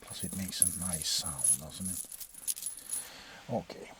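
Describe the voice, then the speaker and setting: A man playing a bored, sardonic, centuries-old vampire doctor in a soft-spoken, deadpan ASMR role-play, often slipping into a whisper. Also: low-pitched, noisy background. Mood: dry, teasing. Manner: deadpan, hesitant, drawn-out, often dropping to a whisper.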